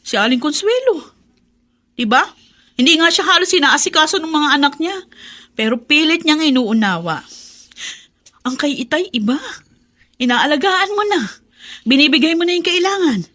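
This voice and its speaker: An actress playing a weary, dutiful adult daughter in a radio drama, exasperated and aggrieved. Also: female voice, sits high, lively intonation, normal speed, long pauses, pushed and bright. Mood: frustrated. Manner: aggrieved, complaining.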